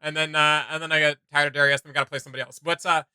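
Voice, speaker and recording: A man hosting a video game reaction show, chatting casually in a rambling, excitable, slangy way. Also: fairly high pitch, racing, clear, resonant tone, dry recording, studio quality.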